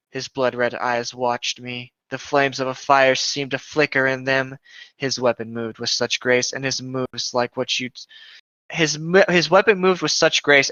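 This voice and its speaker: A man's voice: medium pitch, pushed and bright, smooth, no room sound. A man co-hosting a reading show, narrating a story aloud with casual asides, on a slightly muffled close mic. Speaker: co-host of a fan fiction reading show.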